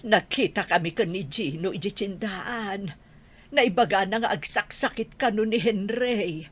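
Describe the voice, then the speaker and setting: A woman's voice: mid-range voice, measured pace, husky. An older woman acting a worried elderly mother and grandmother in a Filipino audio drama.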